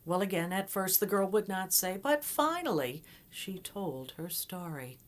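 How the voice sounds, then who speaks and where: A woman telling a folktale aloud in an expressive, dramatic way with deliberate pauses. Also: medium pitch. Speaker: storyteller. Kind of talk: oral folktale storytelling, dramatic.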